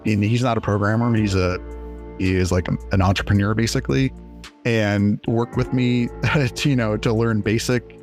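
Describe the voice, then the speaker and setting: Guest: forties man, low voice, rambly and self-mocking, faint music under. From USA. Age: 40s. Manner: rambling, self-deprecating, laughs often. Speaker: indie game developer guest. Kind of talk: casual interview.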